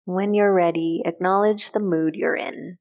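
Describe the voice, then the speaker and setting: Low-pitched woman guiding a calm meditation. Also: creaky. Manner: calm, gentle, unhurried with short measured phrases. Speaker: guided meditation instructor. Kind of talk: guided meditation, soft instructional narration.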